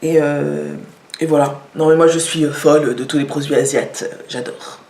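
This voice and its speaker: A woman's voice: low-pitched, steady tone, normal speed, vocal fry, a little mellow, slight background noise. A woman showing products in a casual vlog, talking with many hesitations and fillers. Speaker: vlogger. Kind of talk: casual product show-and-tell. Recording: echoey room.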